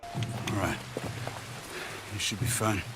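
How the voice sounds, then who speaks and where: Deep, steady outlaw companion. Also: male voice, takes it slowly, over loud music. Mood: hushed caution. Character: calm, cautious male outlaw companion, steady and practical. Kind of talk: dramatic video game acting.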